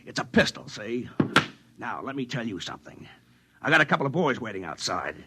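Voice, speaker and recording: An actor in a 1950s radio drama playing a fat old cynical boss, sardonic, commanding and smugly scheming in a theatrical, husky voice. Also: male voice, mid-range voice, big pitch swings, brisk, very noisy. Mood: menacing. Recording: 1950s radio broadcast recording.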